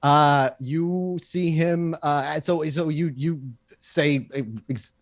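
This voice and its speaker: A man's voice: on the high side, fairly even intonation, takes it slowly, no echo, clean studio sound. A man running a tabletop game as game master, narrating in an improvised, conversational way.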